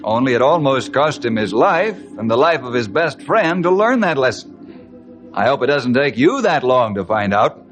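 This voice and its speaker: A man's voice: medium pitch, big pitch swings, normal speed, fairly bright tone, faint music behind. A man playing a friendly, fatherly ranger leader with an instructive manner in an old-time radio adventure. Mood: reassuring, instructive. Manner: avuncular, instructive.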